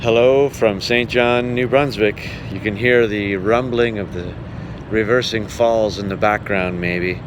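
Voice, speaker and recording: A man's voice: on the low side, fairly even intonation. A man talking casually and conversationally to viewers, with wry understatement, as he records a travel vlog outdoors. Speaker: vlogging touring musician. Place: outdoors beside river falls. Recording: outdoor noise, traffic and rushing falls.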